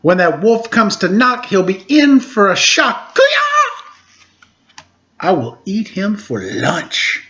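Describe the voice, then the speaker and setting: A man's voice: high-pitched, huge pitch swings, takes it slowly, bright, forceful tone, voice pushed up, heavily acted. A man voicing cocky, fed-up little ninja pigs from a storybook, defiant and boastful. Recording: dull, lo-fi sound.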